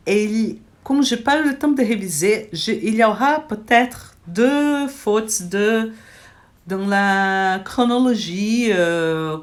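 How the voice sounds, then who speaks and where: Brazilian-accented woman vlogger, unhurried and halting, talking into a handheld phone, native Portuguese speaker working through French. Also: medium pitch. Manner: hesitant, many restarts and fillers. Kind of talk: casual chat to camera. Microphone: phone held in hand.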